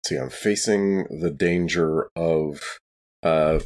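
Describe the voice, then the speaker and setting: A man plays a tabletop game, talking deliberately through his options and checking how the rules work. His voice is deep. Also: darkish tone. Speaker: player. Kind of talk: tabletop roleplay chat.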